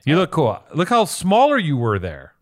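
A man's voice: fairly high pitch, huge pitch swings, moderate pace. A man co-hosting a podcast in casual comedic banter, profane and teasing, often exclaiming. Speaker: podcast co-host.

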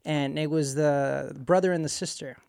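Creaky-voiced man from Puerto Rico, higher pitched. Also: fairly even intonation, moderate pace, a little mellow, no echo, clean studio sound. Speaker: podcast guest, engineer. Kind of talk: casual conversational interview.

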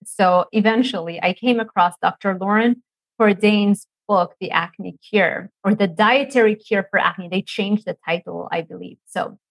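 A woman's voice: mid-range voice, normal speed, creaky, no echo, rough recording. Chatty woman guest from Lebanon. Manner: chatty, laughs often, many fillers and restarts. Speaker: nutrition professor, guest. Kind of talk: casual interview storytelling.

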